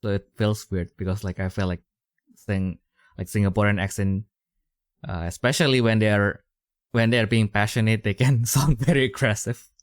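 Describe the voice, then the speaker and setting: A man's voice: fairly low pitch, steady tone, moderate pace, no echo, clean studio sound. A young man, hosting a podcast in casual, rambling chat full of fillers and restarts. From Indonesia. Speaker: podcast host.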